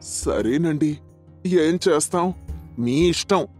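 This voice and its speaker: A man's voice: fairly high pitch, takes it slowly, darkish tone, faint music behind, pitched above the performer's own voice. Man playing a humble, pleading poor farmer. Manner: deferential, pleading.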